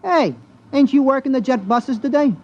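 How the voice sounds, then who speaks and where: A chatty, simple bus driver in an old radio play. He rambles eagerly and colloquially in a very high voice for a man, with an urban working-class American accent. The vintage recording sounds dull. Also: normal speed, darkish tone. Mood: chatty, pleased. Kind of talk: mid-century American radio drama acting.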